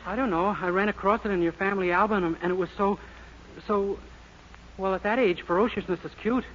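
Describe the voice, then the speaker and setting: An actor playing a naive, earnest, boyish young lodger in a 1940s radio sitcom. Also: male voice, sits high, fairly even intonation, brisk, lightly acted. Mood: sheepish. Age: young adult. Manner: earnest, innocent, sheepish. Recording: muffled, very noisy old radio recording.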